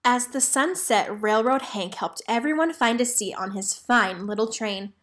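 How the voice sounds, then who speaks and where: A woman reading a children's storybook aloud in an animated, deliberate way. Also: a little high. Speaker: storybook reader. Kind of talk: expressive children's read-aloud narration.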